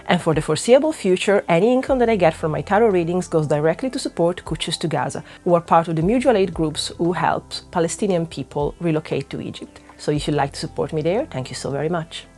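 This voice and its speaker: A young adult woman with an Italian accent, a native Italian speaker, chats casually to her viewers. Her voice sits a little low, and she talks with candid, self-mocking honesty. She often restarts a word before carrying on. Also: lively intonation, fast talker, few pauses, a little mellow. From northwest Italy. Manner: candid and self-deprecating, with frequent restarts and laughs. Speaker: witchcraft YouTuber. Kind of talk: casual vlog talk to camera.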